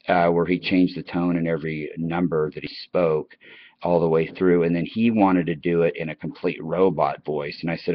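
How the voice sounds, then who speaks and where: A middle-aged American man chatting and reminiscing to his listeners in a casual, rambling, anecdotal way that often circles back. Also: very deep voice. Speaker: online channel host. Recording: dull, lo-fi, dry recording.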